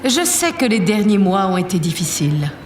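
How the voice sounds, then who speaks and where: A woman's voice: mid-range voice, very animated, normal speed, reverberant, background noise, natural voice. An actress in an audio drama playing a gracious but stingy woman president with a theatrical, sugary, declamatory manner. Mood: grand, rousing. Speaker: president giving a public speech.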